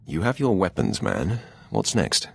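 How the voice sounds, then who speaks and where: Evil sith overlord in a game, super low raspy voice, cold and bossy. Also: male voice, wildly swooping pitch, unhurried, exaggerated voice. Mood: cold menace. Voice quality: gravelly, raspy. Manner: cold, commanding. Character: menacing, imperious male Sith lord. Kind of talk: video game dialogue.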